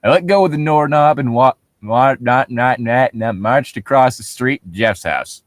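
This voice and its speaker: A man's voice: medium pitch, moderate pace, dry recording. A man reading a story aloud in a casual way, breaking off to quip about it.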